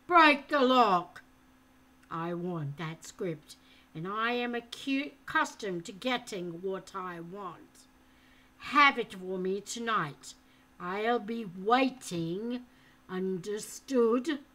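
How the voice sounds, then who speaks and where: A woman voicing a menacing grown man with a harsh, hollow voice, reading him slowly and loudly in a storybook. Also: mid-range voice, animated. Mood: commanding. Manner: slow, loud, threatening.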